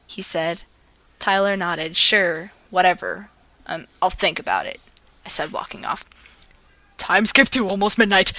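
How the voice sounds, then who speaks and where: A woman's voice: mid-range voice, bright, forceful tone, no echo. A woman reading fan fiction aloud as a video host, playfully acting out the dialogue.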